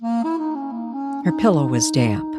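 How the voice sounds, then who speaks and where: A woman narrating a scripted mystery story dramatically, with deliberate, suspenseful phrasing. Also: fairly low pitch, huge pitch swings, loud music behind the voice.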